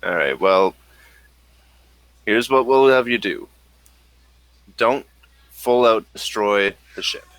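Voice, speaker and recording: A man running a tabletop game as game master, hesitant and unhurried. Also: lowish voice, fairly bright tone, no room sound.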